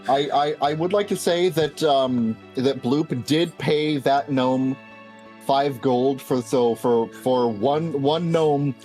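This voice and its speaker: A man chatting casually as a player in a tabletop game, with an expressive delivery. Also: medium pitch, takes it slowly, faint music behind. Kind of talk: casual animated table chat.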